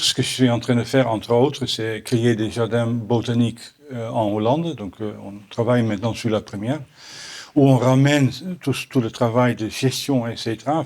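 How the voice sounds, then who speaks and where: A middle-aged man from the Netherlands with a Dutch accent, answering audience questions at a conference in halting French full of restarts. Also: medium pitch, darkish tone. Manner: halting, many restarts and repeated words. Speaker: botanical garden owner answering questions. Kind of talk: Q&A after a conference talk.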